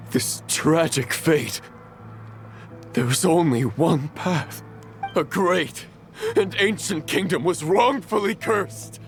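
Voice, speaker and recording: An actor playing a fallen noble knight who speaks slowly and solemnly in formal oaths. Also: male voice, a little high. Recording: game audio with music.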